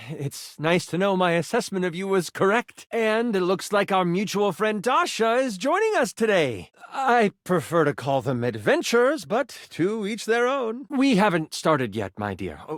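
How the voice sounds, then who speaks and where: An eager living die from a video game speaks in a high, very animated voice. He is a playful, earnest game master who slips into grand fantasy storytelling. The sound is close and dry. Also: dry recording. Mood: playful, welcoming. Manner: expressive, playful, shifting into grand storyteller phrasing. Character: excitable, earnest twenty-sided die come to life, eager game master. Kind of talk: theatrical fantasy game narration.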